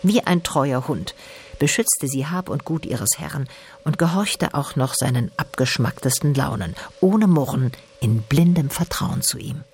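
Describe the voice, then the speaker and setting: A man's voice: mid-range voice, expressive, a little slow, creaky, a little mellow, husky. A man reading scripted literary narration as the narrator of a radio drama.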